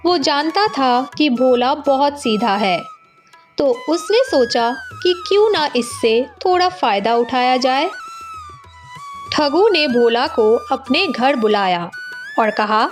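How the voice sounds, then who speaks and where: A woman's voice: sits high, animated, takes it slowly, soft background music. A woman narrating a scripted village story as a storyteller.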